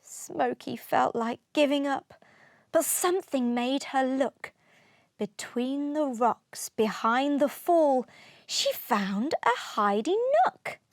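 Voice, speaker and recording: A woman narrating a children's storybook aloud, expressively, with deliberate rhythmic delivery of rhyming verse. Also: high voice, animated, no room sound, clean studio sound.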